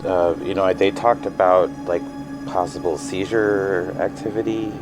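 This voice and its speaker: An anxious, apologetic man in a fiction podcast, confused by his own memory. His voice is low and his delivery slow, stammering and self-conscious, with music clearly underneath. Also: flat delivery, rough recording. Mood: uneasy, hesitant. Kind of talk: naturalistic fiction podcast dialogue.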